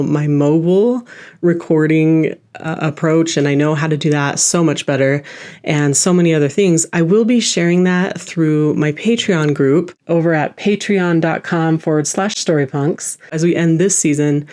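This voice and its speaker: A woman's voice: deep voice, a little mellow. A woman hosting an interview podcast, talking in an enthusiastic manner.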